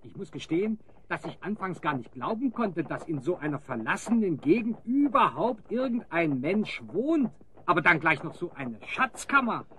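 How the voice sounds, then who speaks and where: High, bright, gleeful thief. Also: male voice, sing-song, moderate pace, slight background noise, full character voice. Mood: gleeful, smug. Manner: gleeful, theatrical. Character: cheerful male thief, hyena spy's henchman. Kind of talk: German audio drama acting.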